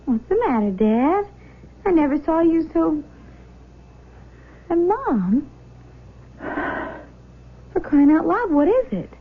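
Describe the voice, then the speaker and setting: High-pitched, breezy young woman. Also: sing-song, slow pace, pauses often, heavily acted. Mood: puzzled, insistent. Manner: bubbly, slangy, teasing. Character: breezy, excited young daughter, newly engaged. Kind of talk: 1970s radio drama acting. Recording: thin phone-like old radio broadcast.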